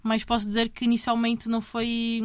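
A woman in her thirties from Brazil, speaking Portuguese with a blend of Brazilian and European accents. She chats easily and restarts her sentences often. Her pitch stays fairly flat and level. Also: a little fast, smooth. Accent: Brazilian Portuguese mixed with European Portuguese. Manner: chatty, restarts sentences, tags with "entende?". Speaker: MMA fighter, guest. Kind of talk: casual interview conversation.

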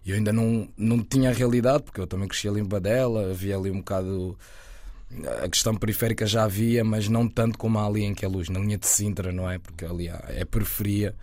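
A young man raised around Lisbon talks quickly in a slightly low voice, relaxed and rambling, full of fillers and restarts. The sound is dry and close, as in a radio studio. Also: no echo. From Lisbon area, Portugal. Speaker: guest, actor and content creator. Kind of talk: casual interview talk.

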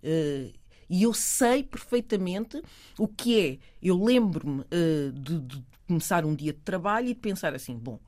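A middle-aged woman with a European Portuguese accent, chatting as a guest, animated, laughing often and repeating words while she searches for them. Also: fairly low pitch, unhurried. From Portugal and Cape Verde. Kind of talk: casual conversation.

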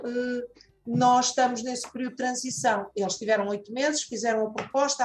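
A woman foundation director, answering interview questions deliberately, with frequent hesitations. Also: fairly high pitch.

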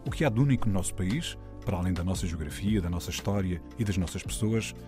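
Brief: male radio narrator, low and lively delivery, loud music under the voice. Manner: measured, lyrical.

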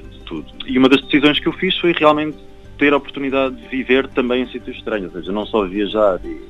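A young man speaks in a deep voice with a European Portuguese accent. He chats reflectively, circling back over words as he looks for the right phrase. The sound is slightly dull, with faint music underneath. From Algarve, Portugal. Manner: halting, repeats words while searching for phrasing. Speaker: traveller and guide interviewed. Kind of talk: casual reflective interview talk.

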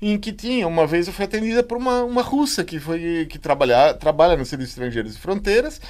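A panel participant speaking spontaneously and haltingly, often breaking off phrases to start again. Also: mid-range voice, animated, a little mellow. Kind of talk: spontaneous discussion.

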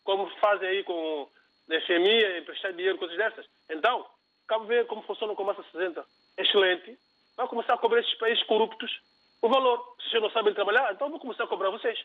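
A man's voice: high voice, fast, dry recording. A man from São Tomé and Príncipe calling in to a radio show, speaking with passion and indignation. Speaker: radio caller. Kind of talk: phone-in opinion. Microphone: telephone. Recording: tinny phone line, dry.

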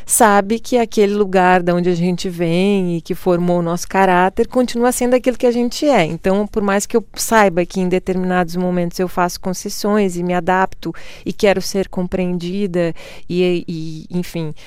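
Brazilian woman with a smooth clear voice. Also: fairly low pitch, normal speed, no room sound. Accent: Brazilian Portuguese. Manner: reflective, laughs often, quotes others in lively voices. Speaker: guest. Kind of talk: conversational interview.

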